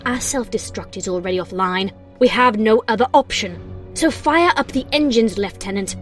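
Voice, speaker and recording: Audio-story role for a woman: aging warrior commander, defiant and stern, higher-pitched voice, faint music underneath. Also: normal speed, close to an everyday voice. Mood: stern, angry. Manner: stern, urgent, giving orders.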